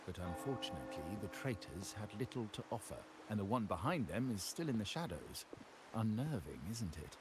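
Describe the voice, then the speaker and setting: An actor playing a formal, self-possessed uncle and heir of a crime family in a video game, with measured, courtly delivery and dramatic pauses. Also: male voice, lowish voice, sing-song. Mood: smooth, ominous. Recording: background music under the voice.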